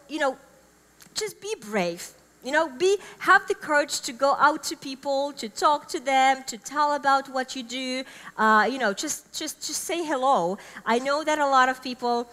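A woman's voice: sits high, bright, forceful tone. Upbeat Finnish woman speaking on stage. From Finland. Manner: enthusiastic, upbeat, gestures while talking. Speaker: conference speaker. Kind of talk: keynote speech. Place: large conference stage. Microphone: headset mic. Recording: long hall reverb.

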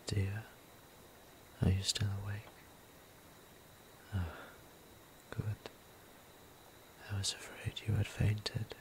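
A man playing a gentle, seductive vampire priest in an ASMR roleplay, whispering breathily with soothing, intimate, formal endearments. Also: on the low side, rough recording. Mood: concerned. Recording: noticeable ambient background noise.

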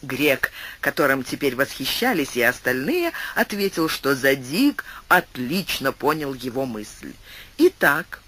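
Bright, animated male narrator. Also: mid-range voice, a little slow, few pauses, a little hiss or hum. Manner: expressive, animated reading. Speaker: audiobook narrator. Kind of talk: scripted narration.

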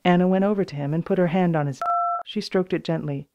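Soft-toned storybook reader, mellow and close, really dry sound. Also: mid-range voice, fast, dry recording, lo-fi sound. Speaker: audiobook reader. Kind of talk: children's storybook narration.

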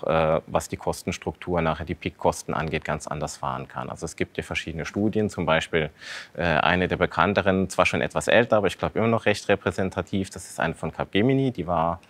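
A man in his thirties explaining things as an expert panel guest, conversational and structured, posing rhetorical questions. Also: deep voice. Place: large dark indoor studio showroom.

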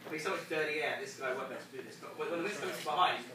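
A man's voice: a little high, rapid-fire, distant mic, crowd noise. A man coaching a group of sailors through a race debrief, explaining casually with many restarts and laughs. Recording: echoey room, from afar, faint noise.

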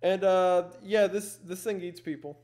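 A man with a fairly high voice talks at an unhurried, very slow pace. He rambles through casual reaction commentary, playing up his unease in a joking streamer style. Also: fairly even intonation, darkish tone. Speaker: streamer reacting to horror videos.

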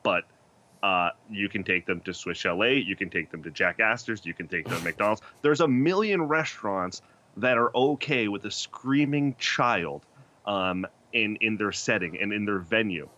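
A man's voice: lowish voice, expressive, normal speed, pushed and bright, highs cut off. A man in his thirties, a podcast host joking and laughing as a debate guest over a video call. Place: small indoor room.

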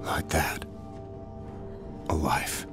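An actor playing a brooding, grief-worn soldier in a breathy, mournful whispered game monologue. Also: male voice. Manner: halting, mournful. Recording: loud music bed.

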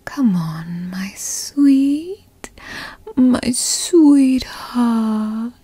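A woman's voice: a little high, animated, warm tone, no echo, exaggerated voice. Whispering possessive girlfriend, acted by a woman. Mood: coaxing, teasing. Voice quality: breathy, mostly whispered. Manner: slow, coaxing, hesitant, formal wording. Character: possessive, doting yandere girlfriend, sweetly controlling and coy. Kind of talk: whispered ASMR roleplay. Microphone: close microphone, dry sound.

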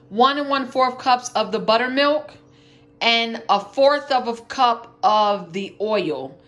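A woman hosting a home cooking video, explaining a recipe step by step in a casual way. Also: on the high side. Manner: plain step-by-step explaining, listing ingredients. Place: home kitchen, dining table.

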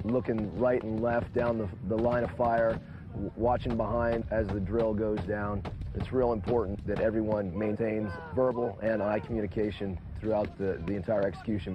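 A man explaining procedures in an unscripted interview as a member of a military team. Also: on the low side. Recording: dull, noisy outdoor film sound.

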